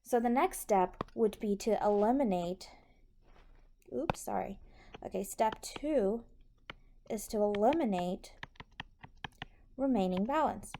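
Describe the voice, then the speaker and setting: Woman, slow pace, soft mellow tone, patient tutorial explanation, noisy recording with clicks. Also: mid-range voice, expressive. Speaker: accounting instructor.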